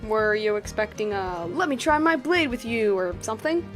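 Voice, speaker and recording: A young woman streams a game in a fairly high voice, with soft music behind her. She comments casually and at length, rambling and getting excited. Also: normal speed. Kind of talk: casual live gameplay commentary.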